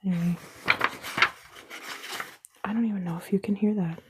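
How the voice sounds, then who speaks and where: A woman whispering throughout an ASMR video indoors at a table, making sarcastic, self-mocking jokes in a soft unvoiced whisper, recorded close up on a camera mic. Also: medium pitch, steady tone, moderate pace, background noise.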